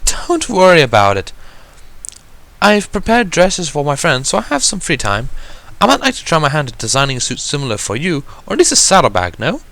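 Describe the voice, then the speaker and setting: A man's voice: on the high side, huge pitch swings, fairly quick, fairly bright tone, pitched above the performer's own voice, heavily acted. A man voicing an elegant, reassuring young female pony, a fashion designer with refined, polished phrasing. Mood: generous.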